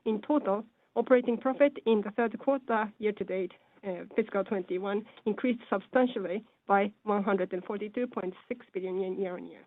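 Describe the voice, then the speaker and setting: Over a thin, phone-like line with a dry sound, a woman delivers a formal scripted presentation. She has a mid-pitched voice and reads in a measured, businesslike manner, like a company presenter on a conference call. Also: no room sound. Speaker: presenter on an earnings call, speaking as or interpreting for a company executive.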